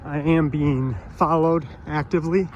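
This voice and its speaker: A man's voice: fairly high pitch, steady tone, measured pace, highs cut off. A man in spontaneous conversation as a citizen journalist, insistent but polite, recorded outdoors. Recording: outdoor street noise, passing vehicles.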